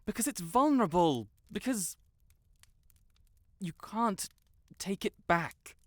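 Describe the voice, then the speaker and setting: Man acting a slow-paced, flustered, proud young wizard. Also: sits high, expressive, no echo. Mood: agitated, defensive. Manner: halting, exasperated, defensive, emotionally earnest. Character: proud, stuck-up young male wizard, flustered tsundere best friend in love. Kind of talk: intimate fantasy audio roleplay acting.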